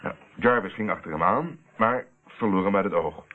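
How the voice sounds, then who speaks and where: An actor playing a self-assured private investigator explaining a case in a Dutch radio play, on an old narrow-band recording. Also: male voice, low voice, normal speed. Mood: pondering, explanatory.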